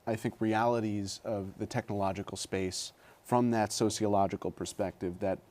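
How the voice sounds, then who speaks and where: A man hosting an interview, asking long, measured questions with some hesitation. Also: on the low side, fairly even intonation, darkish tone. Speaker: interview host.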